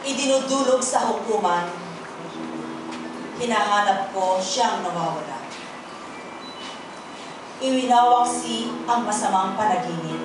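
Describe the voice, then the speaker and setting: A woman with a Filipino accent gives a solemn, dramatic poetry recitation, deliberate and theatrical, with pauses. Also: medium pitch, noisy background. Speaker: poetry reader at a human rights gathering. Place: indoor auditorium event. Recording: very echoey auditorium, distant microphone. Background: plucked guitar accompaniment.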